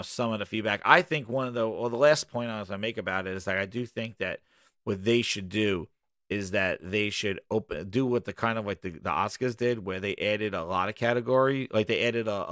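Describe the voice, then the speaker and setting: A man hosting a casual gaming livestream, hoarse and rambling, launching into animated rants. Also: fairly low pitch, no echo, clean studio sound. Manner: animated ranting, loose and rambling. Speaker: gaming podcast host.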